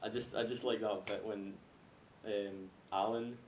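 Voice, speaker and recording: A man's voice: steady tone, a little slow, a loud crowd. A man chatting casually and informally about films in a group, with some swearing. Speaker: podcast host. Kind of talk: casual group film chat. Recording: echoey room, noisy low-fidelity rumble.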